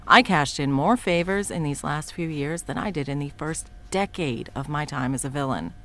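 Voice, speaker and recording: A woman playing a cruel, scheming female supervillain in an audio roleplay, taunting and profane, shifting between whispers and outbursts. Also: low-pitched.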